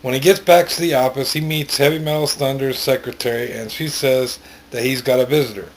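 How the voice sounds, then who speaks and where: A man narrating a story in an amateur read-aloud style, plainly, with pauses and stumbles. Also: medium pitch, steady tone, slight background noise, low-quality recording.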